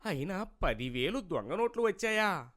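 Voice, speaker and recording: A man's voice: high voice, very animated, normal speed, fairly bright tone. A man voicing a greedy, wheedling village vegetable seller in a cartoon moral story, with a plaintive manner. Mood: panicked, pleading. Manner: rustic, colloquial, plaintive way of talking.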